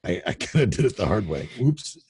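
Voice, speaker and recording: A middle-aged man with a deep radio voice, a real estate investor telling candid, self-deprecating stories in a casual interview over a call. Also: very animated, brisk, darkish tone, slightly rough, no echo, clean studio sound. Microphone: remote call audio.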